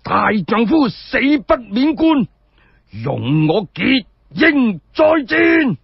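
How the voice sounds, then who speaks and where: A man voicing a defiant warrior over sixty, calling out loud, declamatory challenges. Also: extremely high pitch, sing-song, gravelly, husky, no room sound, lo-fi sound, pitched above the performer's own voice, exaggerated voice. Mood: proud, defiant. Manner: loud, declamatory, drawn-out shouting. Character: fierce, defiant old warrior shouting challenges.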